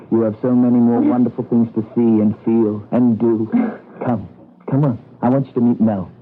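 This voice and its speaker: Eager, coaxing actor as a guide, tinny radio. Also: male voice, medium pitch, level tone, normal speed, soft background music, natural voice. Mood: warmly eager. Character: eager gentle man guiding a newcomer through simple farm life. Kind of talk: old-time radio drama acting. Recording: thin band-limited radio broadcast, some noise.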